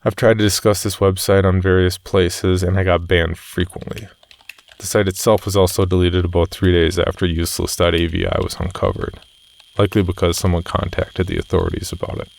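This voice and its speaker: A man narrating a scripted horror story in a measured, matter-of-fact way. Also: sits low, flat delivery, gravelly.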